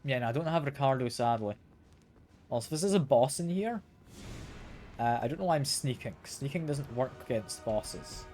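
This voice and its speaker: A man's voice: medium pitch, lively intonation, moderate pace, a little mellow. A man streaming a video game, slightly ill and congested, chatting casually and often repeating words as he plays. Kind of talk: casual gameplay commentary. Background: game music.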